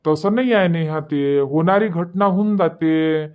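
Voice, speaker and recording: Man playing a high-pitched rustic Varhadi elder brother. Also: a little slow, smooth, lo-fi sound, close to an everyday voice. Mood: reassuring, firm. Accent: Varhadi Marathi of Vidarbha. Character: rustic elder brother, reassuring, bossy protector of his sister. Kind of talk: dialect cartoon comedy dialogue.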